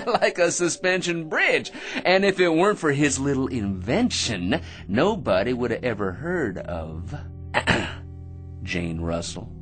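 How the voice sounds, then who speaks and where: A man's voice: mid-range voice, fairly bright tone. An actor playing an eager, self-important American office bore who rambles and laughs at his own remarks in a radio drama.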